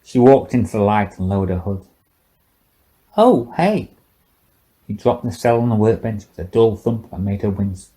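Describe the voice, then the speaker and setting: A man's voice: lowish voice, fairly even intonation, brisk, hardly any pauses, mellow. A man narrating scripted fiction for an audiobook.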